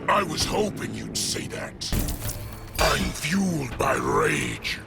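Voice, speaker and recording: An actor playing a raging, vengeful alien warlord in a video game, snarling with menace. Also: male voice, medium pitch, animated, slow pace, gravelly, over loud music, full character voice. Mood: vengeful rage.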